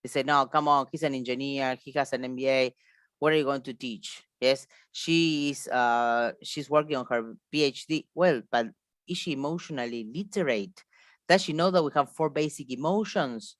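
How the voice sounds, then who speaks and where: A woman's voice: very deep voice, moderate pace, no room sound, studio quality. A woman with an Argentine Spanish accent presenting a teacher-training seminar, speaking spontaneously and emphatically, repeating phrases and checking in with short questions. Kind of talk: online seminar lecture, spontaneous.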